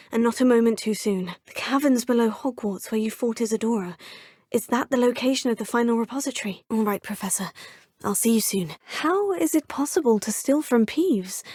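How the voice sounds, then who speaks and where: A woman's voice: fairly high pitch, a little mellow, husky, dry recording, clean studio sound. A polite young witch student. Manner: polite, formal, inquisitive. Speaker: player character in a video game. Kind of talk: video game voice lines.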